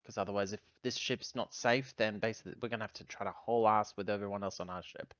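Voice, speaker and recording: A man's voice: fairly low pitch, steady tone, fast, fairly bright tone, no room sound, lo-fi sound. A man chatting casually as a player in a tabletop roleplaying game.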